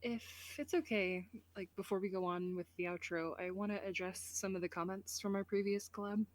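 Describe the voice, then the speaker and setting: A woman's voice: medium pitch, steady tone, a little fast. A woman talking casually as a guest voice actor in an outro chat.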